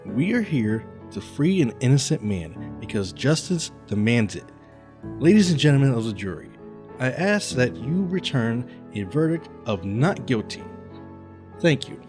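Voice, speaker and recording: A man playing an impassioned defense lawyer in an animated comedy, with a grandiose, emphatic delivery. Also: medium pitch, unhurried, over music. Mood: grand, impassioned. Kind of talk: animated comedy courtroom dialogue.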